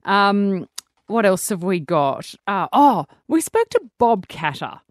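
A woman co-hosting a radio show, casual and wry, with a deep voice for a woman. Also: big pitch swings, takes it slowly, no echo, clean studio sound. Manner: wry, self-deprecating. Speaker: radio co-host.

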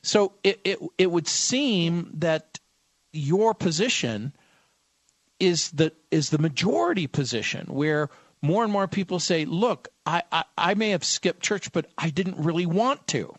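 A man's voice: on the high side, expressive, a little slow, no room sound. A man hosting a radio talk show, speaking thoughtfully and quoting scripture. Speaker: radio host.

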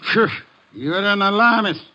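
A man's voice: sits high, lively intonation, a little slow, pushed and bright, exaggerated voice. A man playing an imperious, fussy, eccentric gourmet detective with pompous, precise diction in a 1950s radio drama. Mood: irritated, commanding. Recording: dull vintage 1950 radio recording.

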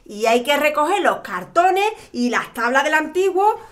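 High-voiced, brisk mother. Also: sing-song. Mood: mildly scolding, busy. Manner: brisk, colloquial, directing. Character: Pilar, brisk, busy mother running the household. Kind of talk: naturalistic audio drama dialogue.

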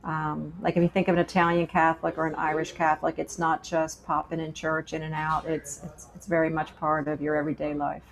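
A woman's voice: low-pitched, monotone, fairly quick, gravelly, few pauses, poor audio. A woman from Pennsylvania, a guest on a faith show, telling her life story in an earnest, anecdotal way and often restarting her sentences. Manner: earnest, anecdotal, repeats words as she restarts. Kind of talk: personal testimony in conversation.

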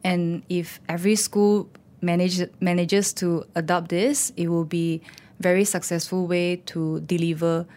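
A woman's voice: lowish voice, steady tone, unhurried, a little mellow. A woman, an animator and teacher, talking loosely in an interview with many "you know"s. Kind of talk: casual interview talk.

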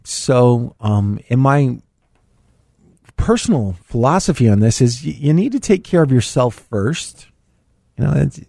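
A middle-aged man hosting a live call-in radio show from a studio, his voice slightly raspy and congested, talking in a rambling way with many fillers. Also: medium pitch, dark tone, no room sound. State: raspy, a bit congested after illness. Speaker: radio show host, financial advisor.

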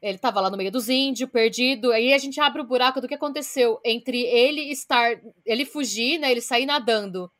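A woman's voice: fairly high pitch, brisk, hardly any pauses, a bit bright. A Brazilian woman co-hosting a podcast, retelling a story from her research in a blunt, casual way with some swearing. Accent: Brazilian Portuguese. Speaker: podcast co-host.

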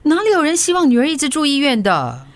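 A woman's voice: high voice, wildly swooping pitch, fast, no echo. An actress with a Singaporean accent playing a stubborn, cheeky young mother who is unwell, in a radio drama. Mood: grumbling, cheeky. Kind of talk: Chinese radio drama acting.

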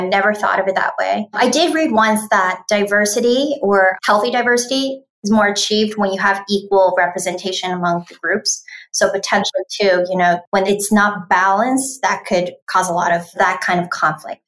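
A woman's voice: medium pitch, a bit bright, rough recording. Woman interviewer, curious and appreciative, conversational. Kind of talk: casual interview.

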